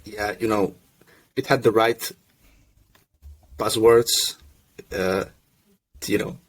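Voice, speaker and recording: A young man from Russia who grew up in Finland, a podcast guest telling his story in a relaxed, self-deprecating way with many restarts. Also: fairly low pitch, slow. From Russia, raised in Finland. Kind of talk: casual podcast chat.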